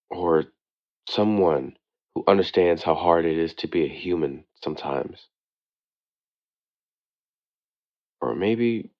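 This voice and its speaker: A man playing a devoted boyfriend who leaves an intimate late-night voicemail, speaking earnestly and tenderly with hesitant pauses. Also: sits low, highs cut off. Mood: hesitant, musing. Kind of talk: intimate boyfriend audio roleplay, slow monologue. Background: soft new-age music.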